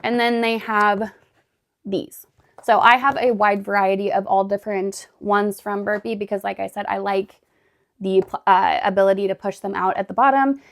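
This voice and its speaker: A woman vlogging casually at home, still hoarse and raspy after being sick, chatting with lots of "like" and "really" as she sorts gardening supplies. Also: mid-range voice, fairly even intonation, moderate pace. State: hoarse from recent illness. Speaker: homestead vlogger. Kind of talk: casual vlog chat. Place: indoors at home, sorting gardening totes.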